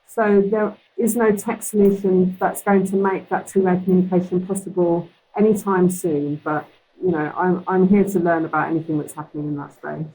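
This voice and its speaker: Deaf Ukrainian-accented woman, low and husky. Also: fairly even intonation, normal speed, dark tone, rough recording. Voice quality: deaf speaker's voice. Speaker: guest. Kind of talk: interview answer.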